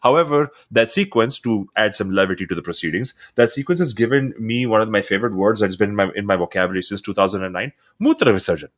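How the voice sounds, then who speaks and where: A man with a very low, deep voice talks quickly, pushing his points with emphasis. He grew up in Dubai and co-hosts a casual film podcast, often doubling words as he gets going. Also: lively intonation. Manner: emphatic, quick, repeats words when starting. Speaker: podcast co-host.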